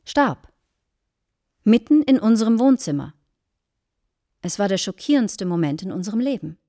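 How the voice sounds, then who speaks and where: A woman's voice: mid-range voice, sing-song, moderate pace, mellow, dry recording, clean studio sound. An actress in a German radio play as an earnest married woman, recounting with formal, dramatic detail. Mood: dramatic, solemn. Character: earnest married woman solemnly recounting a shocking anecdote to a guest.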